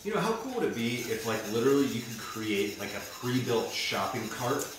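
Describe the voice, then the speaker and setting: A young man guesting on a cooking demo, enthusiastic and quick to chime in. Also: medium pitch, big pitch swings, slight background noise. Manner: enthusiastic, quick agreeing interjections. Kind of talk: casual chat. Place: home kitchen, indoors. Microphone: far camera mic. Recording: echoey kitchen, mic at a distance.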